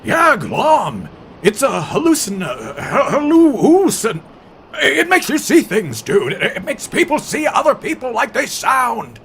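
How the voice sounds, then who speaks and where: Super high creaky alien surfer dude, kooky old scientist sound, story reading w music behind. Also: male voice, huge pitch swings, fairly bright tone, a little hiss or hum, heavily acted. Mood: explaining, bumbling. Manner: halting surfer slang, stumbling over big words.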